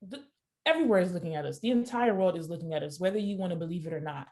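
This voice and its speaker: Emphatic young woman, low voice, on a call. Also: expressive, racing, darkish tone. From Haiti. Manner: emphatic, repeats points for stress. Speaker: guest. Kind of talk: casual conversation on a video call. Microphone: video call webcam.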